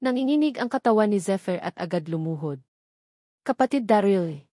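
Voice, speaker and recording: A woman's voice: mid-range voice, animated, quick pace, mellow, no room sound, studio quality. A woman reading a novel aloud as a scripted audiobook narrator, keeping a formal reading voice.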